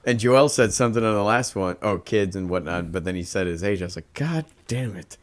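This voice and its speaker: A man in his thirties with a lowish voice, talking loosely as the podcast host. He swears freely and keeps breaking into laughter. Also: fairly quick. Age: 30s. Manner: loose, profane, laughs often. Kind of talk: casual podcast chat.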